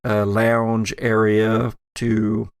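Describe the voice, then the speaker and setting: A man narrating a tabletop audio drama as game master, in a measured, descriptive way. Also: lowish voice, monotone, clean tone, no room sound.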